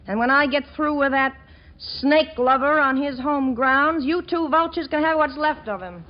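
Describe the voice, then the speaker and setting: An actress playing a sly, vengeful young con artist who puts on arch English poise, in 1940s radio comedy style. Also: female voice, sits high, normal speed, close to an everyday voice. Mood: scheming. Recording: muffled, noisy 1940s radio recording.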